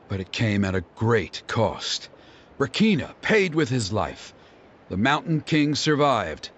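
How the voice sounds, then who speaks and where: Dramatic middle-aged dad from a tribe, gossipy and theatrical, really animated up-and-down voice. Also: male voice, mid-range voice, a little hiss or hum. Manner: dramatic storytelling, gossipy grumbling.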